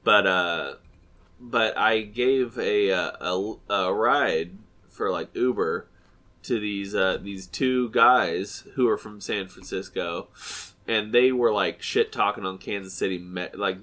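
A man's voice: on the low side, a little slow, bright, forceful tone. A man in his thirties with a stuffy, nasal voice, co-hosting a casual chat podcast in a rambling, self-correcting way. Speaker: podcast co-host.

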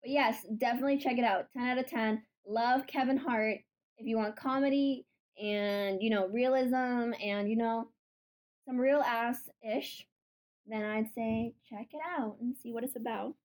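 Woman host, slightly high voice, teasing and playful in casual chat. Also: steady tone, a little slow, a little mellow. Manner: playful, teasing, laughs a lot. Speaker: podcast host.

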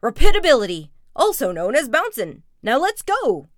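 Picture a boisterous, whooping tiger who brags and bounces through every line, as a woman narrator puts him on. The voice swings up and down with lively energy and sits well above her own reading voice. It is heard close and dry, with no room around it. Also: high voice, moderate pace, dry recording, pitched above the performer's own voice. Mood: exuberant. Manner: whooping, boisterous, drawled words. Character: bouncy boastful male tiger, exuberant.

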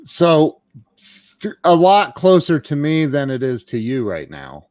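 A man's voice: fairly high pitch, huge pitch swings, darkish tone, dry recording. A man hosting an interview podcast in a casual, upbeat way, often stopping and restarting his sentences. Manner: upbeat, chatty, with halting restarts. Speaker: podcast host.

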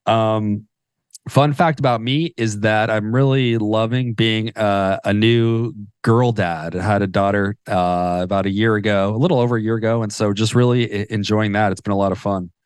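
Man, resonant voice, low, easygoing, lots of ums. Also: moderate pace, clean tone, no echo, clean studio sound. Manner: relaxed, filler-heavy, rambling. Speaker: podcast production company founder. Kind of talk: casual panel introduction.

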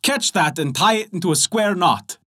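A man voicing a meek, nervous little tailor in a storybook reading, higher than his own voice. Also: lively intonation, moderate pace, pushed and bright, full character voice. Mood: blurting.

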